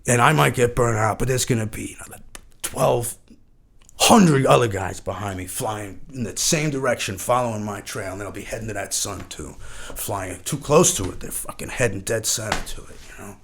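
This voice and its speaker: A man's voice: mid-range voice, moderate pace, creaky, fairly bright tone. An actor playing a washed-up eighties rock frontman, rambling and repeating himself nostalgically and grandly in a mock podcast interview. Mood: defiant, musing.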